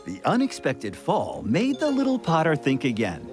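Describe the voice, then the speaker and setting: A woman narrating a children's cartoon fairy tale as a lively, playful storyteller. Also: low-pitched, normal speed. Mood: storytelling. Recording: music behind the voice.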